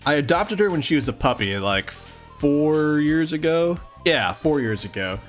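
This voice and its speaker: A man's voice: on the high side, sing-song, unhurried, faint music behind. A man hosting a livestream, chatting casually and affectionately with his viewers. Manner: affectionate, cooing to a pet. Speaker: livestream host.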